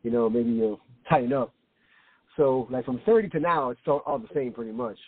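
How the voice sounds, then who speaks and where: Slangy husky man in his late forties. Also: medium pitch, a little fast, no room sound, narrow, tinny audio. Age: late 40s. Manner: slangy, informal, peppers talk with "bro" and "man". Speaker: comedian, interview guest. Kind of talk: casual conversational chat.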